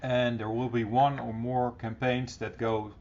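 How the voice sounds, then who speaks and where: Gravelly man giving a software walkthrough. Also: mid-range voice, flat delivery, a little slow. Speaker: software tutorial presenter. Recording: dull, slightly noisy computer recording.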